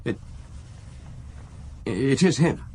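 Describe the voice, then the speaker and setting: A man's voice: medium pitch, lively intonation, very slow, dark tone, heavily acted. A young actor playing a nervous junior police detective in a radio drama, hesitant and deferential, stumbling over his words. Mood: shaken. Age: young adult. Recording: radio drama with clear background hiss.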